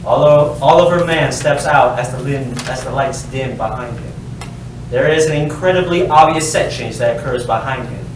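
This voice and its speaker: A man's voice: medium pitch, normal speed. Man reading stage directions, very echoey. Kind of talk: scripted dramatic narration of stage directions. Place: live staged reading in a roomy space. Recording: very echoey noisy room, distant mic.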